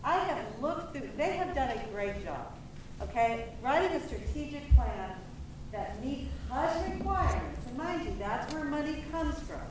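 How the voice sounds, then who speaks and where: High-voiced woman booming from far off. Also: expressive, a little slow. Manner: loud, firm and rallying, without a microphone. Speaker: meeting participant. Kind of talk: public meeting remarks. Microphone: no microphone, voice picked up from across the room. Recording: distant voice in a very echoey hall with room noise.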